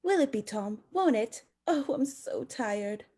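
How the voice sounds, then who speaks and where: A woman's voice: a little high, animated, a little slow, dark tone. A woman acting in a radio drama as a fragile, forlorn young bride, plaintive and emotional. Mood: tearful relief, weary.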